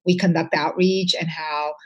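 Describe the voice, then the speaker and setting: A woman's voice: low voice, steady tone, moderate pace, rough recording. A woman speaking as an interview guest, conversational, reflective and earnest, with emphasis on her points.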